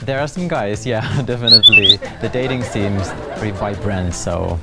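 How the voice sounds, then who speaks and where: A man in his thirties speaks in a low voice with a Finnish accent. He talks casually and expressively, like a guest in a relaxed interview, with guitar music clearly playing underneath. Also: normal speed, a loud crowd. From Finland. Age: 30s. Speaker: talk show guest.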